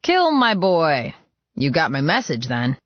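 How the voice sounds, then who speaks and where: A man's voice: a little high, wildly swooping pitch, unhurried, no room sound, lo-fi sound, voice pushed up. A man voicing a jovial, sprightly older male convict with an upbeat, chatty manner. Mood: cheerful.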